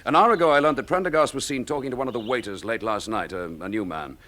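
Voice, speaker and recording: An actor with a British accent playing a stern, weary, dutiful chief inspector in a radio drama, clipped and authoritative. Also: male voice, medium pitch, fast talker, fairly bright tone, lightly acted. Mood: grim, measured.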